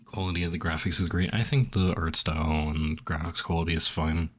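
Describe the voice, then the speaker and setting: A man's voice: low voice, flat delivery, a little fast. A young man streaming, rambling casually with lots of "like" as he reads survey options aloud and reacts, his throat a little phlegmy. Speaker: gaming streamer. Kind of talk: casual commentary while filling out a survey.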